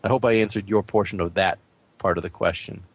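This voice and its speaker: A man with a fairly low voice co-hosts a gaming podcast. He talks casually and bluntly, recorded dry and close. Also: fairly even intonation, a little fast, dry recording. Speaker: podcast co-host.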